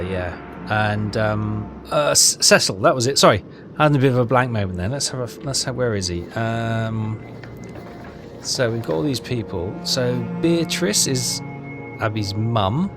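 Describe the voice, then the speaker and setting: Guy doing a casual game walkthrough, hesitant, thinking out loud, music playing behind him. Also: medium pitch. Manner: hesitant, rambling, thinking aloud. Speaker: gaming video host.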